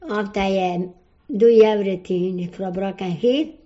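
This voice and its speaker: An older woman from Croatia speaks slowly in broken English with a Croatian accent, and she often stops to search for a word. Her voice is mellow and low in brightness. The recording is dull and lo-fi. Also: steady tone. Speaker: interviewee. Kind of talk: oral history interview.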